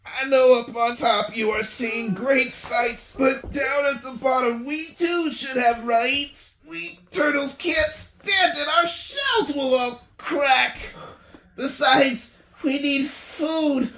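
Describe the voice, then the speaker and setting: A man's voice: very high voice, slow pace, pushed and bright, pitched above the performer's own voice, heavily acted. A man voicing a meek, plain little storybook turtle, plaintive and groaning as he pleads politely. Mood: pained, pleading. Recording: echoey room, faint noise.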